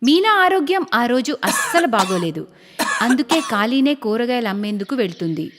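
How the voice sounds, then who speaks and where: A woman narrating a scripted moral story in an expressive storytelling manner. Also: on the high side, normal speed, background noise. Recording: long reverb, music underneath.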